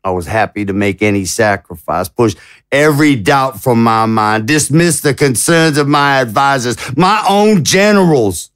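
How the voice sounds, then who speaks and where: An actor playing an anguished, indignant and naive president in an audio drama, with stammering, rhetorical speech. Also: male voice, mid-range voice, animated, moderate pace, a bit bright, clean tone, no room sound, clean studio sound, lightly acted. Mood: anguished regret. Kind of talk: dramatic audio fiction acting.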